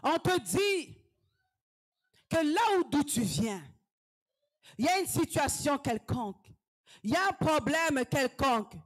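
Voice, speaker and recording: Woman evangelist, slow deliberate delivery, fervent sermon with hushed and shouted passages. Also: high voice, animated. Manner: fervent preaching, swings from hushed whispers to shouted exhortations. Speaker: evangelist preacher.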